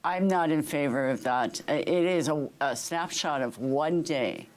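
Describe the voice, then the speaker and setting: A woman's voice: deep voice, unhurried. A woman answering interview questions as a trustee candidate, earnest and hesitant, with frequent fillers.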